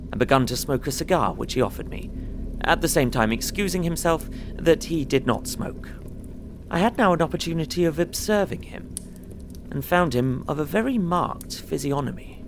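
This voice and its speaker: An actor playing an earnest, uneasy young Englishman, narrating his diary in an audio drama with measured, formal, dramatic phrasing. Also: medium pitch, noisy background.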